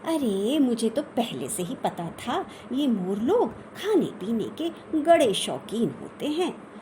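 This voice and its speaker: Woman doing a show-off excitable mom in a kids story, high voice, emphatic, faint noise behind. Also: slow. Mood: boastful, knowing. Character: boastful, excitable Indian mother, self-styled peacock-catching expert.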